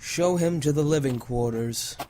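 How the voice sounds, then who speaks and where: A man's voice: on the high side, moderate pace, dark tone, slight background noise, lightly acted. A man playing an assured training program official in a drama, composed and reassuring. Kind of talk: dramatic voice acting in an audio drama.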